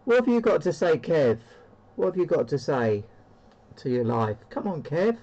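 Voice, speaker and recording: A man's voice: a little high, big pitch swings, normal speed, mellow, dull audio. A man chatting casually as he demonstrates a CB radio. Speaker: CB radio operator demonstrating a rig. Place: indoor room with stacked radio equipment. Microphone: handheld CB radio microphone.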